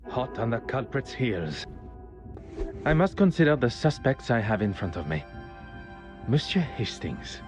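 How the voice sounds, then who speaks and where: An actor playing a shrewd, courteous, self-assured detective with a Belgian French accent, speaking formally and precisely in a game. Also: male voice, on the low side. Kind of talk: scripted game dialogue and narration.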